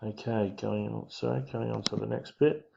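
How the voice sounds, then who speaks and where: A man giving an informal physics lesson, talking through diagrams in a casual, halting way as he thinks aloud. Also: on the low side, steady tone, gravelly, background noise. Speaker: physics teacher. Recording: dull lo-fi microphone sound.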